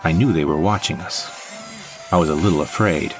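A man's voice: rumbling low, fairly quick, faint music behind. A man reading first-person scripted narration for an audio drama.

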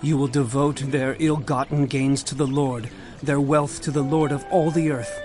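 A man's voice: mid-range voice, steady tone, moderate pace, a little mellow, lightly acted. An actor playing an earnest male prophet in a dramatized audio Bible, declaiming solemnly to his people. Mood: solemn, proclaiming. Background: dramatic music.

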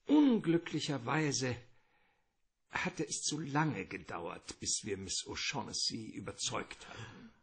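Gravelly middle-aged crime boss, calculating, courtly and wordy, swooping intonation, radio play. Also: male voice, mid-range voice, big pitch swings, slow, full character voice. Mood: smug storytelling. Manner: elaborate, courtly, theatrical politeness. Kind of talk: radio play detective drama acting.

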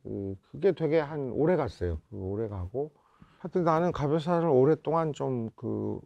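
A man in his fifties from South Korea reminisces as a film director in a reflective interview, often pausing to search for words. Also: mid-range voice, animated, vocal fry, no room sound, dull audio. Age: 50s. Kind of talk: reflective oral-history interview. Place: indoor interview set before a photo backdrop.

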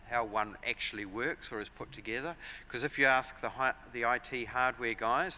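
A man in his forties with a New Zealand accent, giving a measured, explanatory conference talk at a lectern with frequent fillers and restarts. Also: fairly low pitch, bright, forceful tone, slight background noise. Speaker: conference speaker. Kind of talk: conference presentation. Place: large conference hall, at a lectern. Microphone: lectern microphones.

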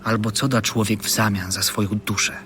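A man's voice: on the low side, fairly even intonation, fairly quick, natural voice. An actor playing a solemn male teacher instructing his disciples in a dramatic scripture reading. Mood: solemn teaching. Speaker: actor in an audio Bible. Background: music.

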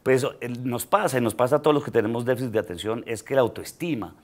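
A middle-aged man from Colombia speaks on a studio talk show set. His pitch sits in a middle range. He tells his story in a loose, halting way, with repeated words and small laughs. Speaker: guest comedian. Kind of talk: casual talk show chat.

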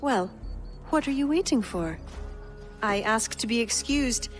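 An actress playing a brash, teasing young Irish warrior poetess in a game cutscene, with a wry, playful, theatrical manner. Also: female voice, a little high, lively intonation, unhurried, soft background music. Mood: firm, arch.

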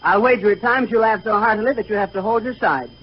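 A man's voice: high voice, fast, slightly rough. An actor playing an earnest, pompous, wordy straight man in a 1930s radio comedy act on a muffled recording.

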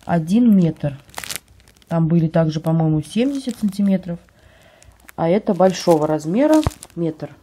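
A woman vlogger with a slightly hoarse voice from a cold, chatting casually at home and describing items she holds up for a phone camera. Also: on the low side, expressive, moderate pace, long pauses, mellow, slight background noise. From Russia. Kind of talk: casual show-and-tell vlog. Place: at home, indoors.

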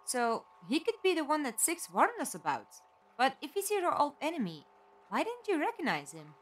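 Casting: actress as plucky, practical, questioning female companion for audio drama; high voice, animated delivery. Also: normal speed, low-quality recording. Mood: curious, probing. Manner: questioning, direct. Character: inquisitive, plucky, practical young woman companion.

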